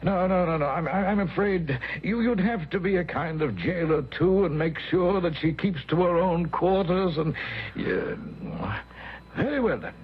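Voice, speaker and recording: Husky, flustered old-fashioned gentleman. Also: high-pitched, lively intonation, heavily acted. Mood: troubled, evasive. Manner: halting, flustered, formal. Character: hesitant, evasive, well-to-do married gentleman employer. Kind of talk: old-time radio drama acting. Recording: dull, muffled old radio recording.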